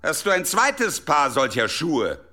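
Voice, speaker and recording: A man's voice: fairly high pitch, wildly swooping pitch, measured pace, sharp tone, heavily acted. A man in a German radio play acting a menacing leader of ruthless intruders, sarcastic and scornful.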